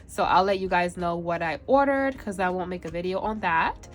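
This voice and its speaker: A woman's voice: fairly low pitch, lively intonation, moderate pace, soft background music. A woman with a congested, stuffy voice chatting through an unboxing on her planner vlog, laughing often between phrases. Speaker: planner vlogger.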